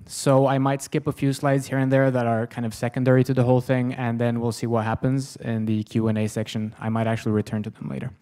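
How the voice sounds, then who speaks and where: A man's voice: mid-range voice, flat delivery, quick pace, few pauses, mellow. A man in his thirties with a Swedish accent, giving a self-deprecating, joking conference lecture from a podium, with lots of ums. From Sweden. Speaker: conference speaker. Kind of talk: lecture presentation. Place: conference hall with audience. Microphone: podium microphone, far camera.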